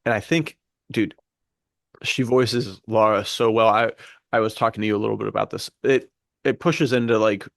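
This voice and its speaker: A man's voice: lowish voice, steady tone, normal speed, gravelly, a bit bright, no room sound, studio quality. A man co-hosting a podcast, casually and enthusiastically recommending things. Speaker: podcast co-host. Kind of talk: casual pop-culture podcast chat.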